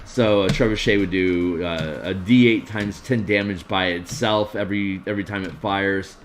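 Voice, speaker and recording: A man with a tired, gruff voice streaming casually, rambling through tabletop game design with lots of fillers. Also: low-pitched, fairly even intonation, moderate pace, slight background noise. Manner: rambling, many fillers and restarts. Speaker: streamer and map artist.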